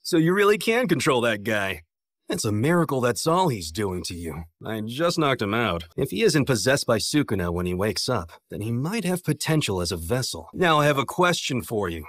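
A man's voice: medium pitch, very animated, moderate pace, a bit bright, dry recording, clean studio sound. An American man playing a cocky, playful young sorcerer teacher in an English anime dub, with a breezy manner. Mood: amused, confident.

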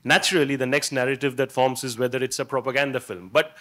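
A man's voice: medium pitch, steady tone, quick pace, a bit bright. A man putting questions as a journalist at a press conference. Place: indoor press event.

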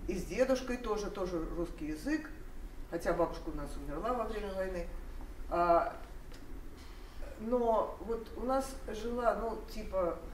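Older woman rambling in an echoey room. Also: mid-range voice. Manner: rambling, many hesitations and restarts. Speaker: seminar participant sharing memories. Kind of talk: spontaneous personal storytelling. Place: seminar room, standing among seated audience. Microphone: far camera. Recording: echoey room, distant mic, noise.

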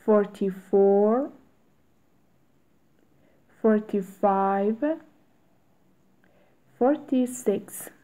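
A woman reading short English phrases aloud one at a time, with long pauses for learners to repeat. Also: mid-range voice, dark tone. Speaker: English teacher. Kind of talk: slow read-aloud drill for learners.

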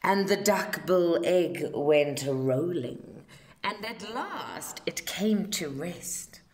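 A woman reading a children's story aloud with expressive, dramatic emphasis and deliberate phrasing. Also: on the low side. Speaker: storybook reader. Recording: roomy, echoing home recording.

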